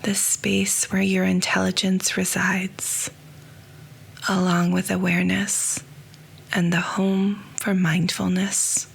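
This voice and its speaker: A woman guiding a yoga nidra meditation, speaking softly and slowly, often close to a whisper. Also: mid-range voice, monotone, vocal fry, breathy edge, low-quality recording. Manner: soft, slow and hushed, often near a whisper. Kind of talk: guided meditation.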